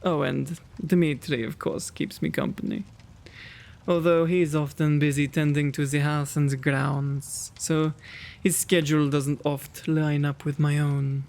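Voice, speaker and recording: A man playing a kind, quaint gentleman vampire in an ASMR roleplay, with genteel, old-fashioned, fussy diction and a creaky voice. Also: a little high, level tone, a little slow. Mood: genial, chatty.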